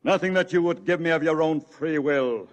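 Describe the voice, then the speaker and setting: A man acts out a zealous male high priest in an old-style radio drama. His voice sits very high and rings in a long reverb. He declaims with solemn, defiant righteousness. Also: moderate pace, close to an everyday voice. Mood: defiant, righteous. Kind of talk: mid-century radio drama acting.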